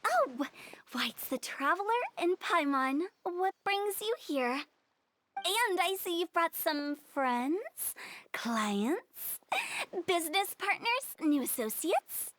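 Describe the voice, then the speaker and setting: A woman's voice: very high-pitched, sing-song, takes it slowly, a bit bright, slight background noise, full character voice. A woman playing a young, eccentric funeral director, theatrical and playful, in a video game cutscene. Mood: grandly welcoming. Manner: theatrical, mischievous, salesy. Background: game music.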